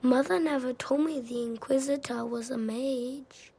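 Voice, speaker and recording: A performer playing a quiet, curious, well-spoken little boy in a video game. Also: high voice, steady tone, unhurried, creaky, breathy edge, exaggerated voice. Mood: curious, solemn. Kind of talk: dramatic video game dialogue.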